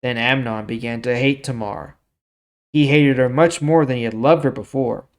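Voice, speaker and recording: A man with a medium-pitched voice hosts a scripture reading series. He reads in a storytelling way and adds informal, explanatory remarks in a casual manner. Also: normal speed. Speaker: host of a daily Bible reading series.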